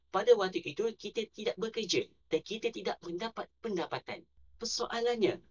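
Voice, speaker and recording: Bright-voiced Malaysian man explaining finance. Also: high voice. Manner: steady, deliberate reading of figures and statistics. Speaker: personal finance YouTuber. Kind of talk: scripted explainer narration.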